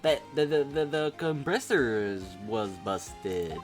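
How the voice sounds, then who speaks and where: Female reader as slangy young male vendor, video game: goofy, boastful, excitable drawl, low for a woman. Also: slow, music in the background, exaggerated voice. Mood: boastful, easygoing. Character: young man, goofy boastful hot dog seller.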